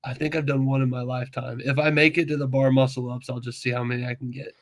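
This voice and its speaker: A man's voice: mid-range voice, flat delivery, fast talker. Easygoing man telling stories. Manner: easygoing, self-deprecating storyteller. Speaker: podcast co-host. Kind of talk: casual chat.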